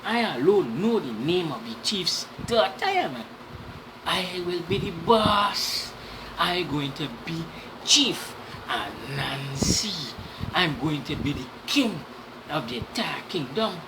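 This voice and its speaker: Storybook spider trickster played by a woman: vain, scheming, self-important, swooping pitch, noisy background. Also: very animated, slow, heavily acted. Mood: grandly boasting.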